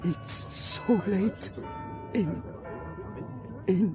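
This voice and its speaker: An actor playing a gravely injured, dying man in a radio drama, gasping out secrets in halting fragments. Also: sits high, over music. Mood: weak, desperate. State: dying, struggling for breath.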